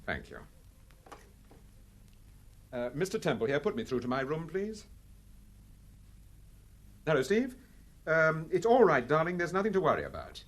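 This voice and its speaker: An actor playing a composed, courteous British gentleman detective in a radio drama, speaking in a measured, polite way with small hesitant ums. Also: fairly high pitch, huge pitch swings, exaggerated voice. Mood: polite, reassuring.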